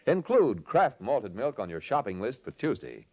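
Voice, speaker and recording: American male radio announcer, lively intonation, hearty and polished, dull old broadcast recording, music behind. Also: medium pitch, moderate pace. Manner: hearty, polished sales delivery. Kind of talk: 1950s radio announcing, scripted sponsor ad.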